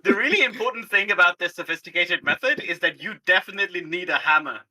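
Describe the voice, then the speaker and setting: High, very bright male voice, distant. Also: quick pace. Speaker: tabletop game player. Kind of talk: casual joking banter.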